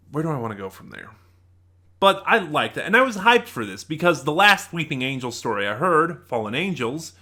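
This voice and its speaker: A man giving a casual, unscripted review in a bright, forceful voice, speaking enthusiastically and emphatically with a halting flow. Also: mid-range voice. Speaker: video reviewer.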